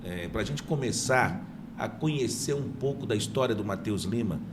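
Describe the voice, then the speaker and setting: A man's voice: lowish voice, lively intonation, moderate pace. Friendly podcast host man, noisy room. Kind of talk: interview hosting.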